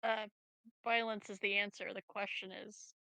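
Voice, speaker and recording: A woman's voice: medium pitch, level tone, normal speed, sharp tone, no room sound. A woman chatting casually as a player in a tabletop game. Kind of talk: casual chat.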